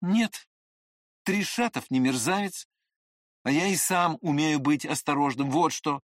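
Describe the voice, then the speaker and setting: A man's voice: a little high, a little slow, no room sound, studio quality, voice pushed up, lightly acted. A man voicing a proud, touchy young man with a defiant, indignant manner in an audiobook. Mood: scornful. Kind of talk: dramatized audiobook character voice.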